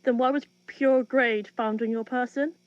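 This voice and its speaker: This is a woman acting a scornful, commanding police boss in a dystopian audio drama. Her voice is a little high and full of cold contempt. The recording is slightly dull. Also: steady tone, normal speed, no echo, close to an everyday voice. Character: cold, contemptuous female police chief interrogating a prisoner.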